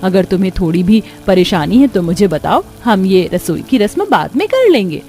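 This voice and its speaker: Woman acting a middle-aged cartoon mother-in-law, kind, patient, gentle, mellow, reassuring. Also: medium pitch, sing-song, moderate pace, soft background music. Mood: concerned. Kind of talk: cartoon story dialogue.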